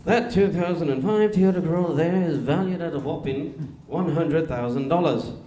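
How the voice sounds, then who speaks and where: A male stand-up comedian voicing a grandly authoritative British man with a British accent, pompous and deadpan, in an echoey club recording. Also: fairly high pitch, moderate pace, crowd noise, natural voice.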